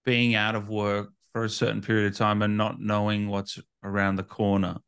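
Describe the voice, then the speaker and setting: A man's voice: fairly low pitch, completely flat delivery, moderate pace, fairly bright tone, clean tone, no room sound, studio quality. A man hosting a casual interview, asking questions in an informal, chatty way with tag questions. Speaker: interviewer, podcast host.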